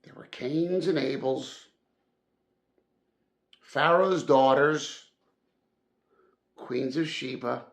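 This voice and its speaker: A man narrates a storybook aloud at an extremely slow pace. His mid-range voice has a clear creak and fry to it. He phrases deliberately and theatrically, leaning hard on chosen words. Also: medium pitch. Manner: deliberate, dramatic phrasing with emphatic stresses. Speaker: audiobook-style reader.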